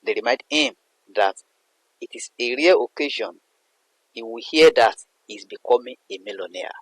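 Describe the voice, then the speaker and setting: A man's voice: mid-range voice, very animated, normal speed, gravelly, a bit bright, husky, dry recording, low-quality recording. A man explaining a poem as a literature teacher, in a didactic way that repeats and rephrases points. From Nigeria. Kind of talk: explanatory poetry analysis lesson.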